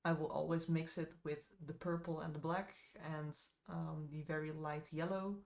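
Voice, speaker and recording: A woman's voice: deep voice, flat delivery, moderate pace, creaky, darkish tone. A woman with a Dutch accent chatting casually to her live-stream viewers while she paints, rambling a little with fillers and asides. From Netherlands.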